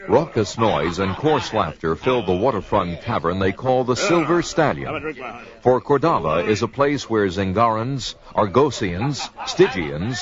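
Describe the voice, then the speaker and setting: A man with a lowish voice reads adventure narration dramatically. It sounds like a vintage story record, dull and lo-fi.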